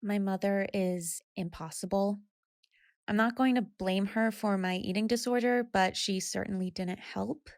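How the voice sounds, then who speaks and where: A woman's voice: medium pitch, a little slow, a little mellow, dry recording, studio quality, lightly acted. An actress playing a troubled, candid woman in her twenties, confessing in a subdued way in an audio drama. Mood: bitter. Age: 20s. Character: troubled, candid young woman recovering from anorexia. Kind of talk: audio drama monologue and dialogue.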